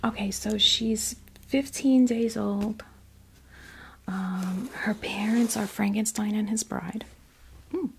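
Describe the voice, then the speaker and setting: Whispering American woman with creaky breathy voice. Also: mid-range voice, steady tone, slow, long pauses, slight background noise. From United States. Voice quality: soft, breathy, mostly whispered. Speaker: ASMR video host. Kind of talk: ASMR, casual unboxing chat. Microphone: sensitive close microphone.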